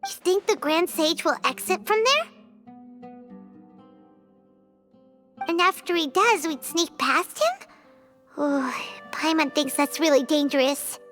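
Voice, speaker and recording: Squeaky, very high childlike fairy. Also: female voice, a little slow, a bit bright, faint music behind. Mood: wary. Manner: refers to self in third person, exclamatory. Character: tiny excitable floating fairy companion girl, childlike, anxious. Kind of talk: video game voice acting.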